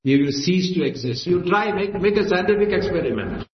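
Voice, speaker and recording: A man's voice: high voice, animated, brisk. A man with an Indian accent, a native Gujarati speaker, giving an emphatic, declamatory speech at a meeting. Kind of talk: impassioned speech. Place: assembly hall. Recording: echoey lo-fi hall recording.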